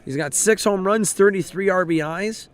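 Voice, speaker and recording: A man calling a college baseball game play by play, his voice raspy and hoarse from overuse, steady and informative, and lifting with the action on the field. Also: sits high, moderate pace. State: hoarse, voice drained. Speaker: play-by-play announcer. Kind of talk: live baseball play-by-play commentary.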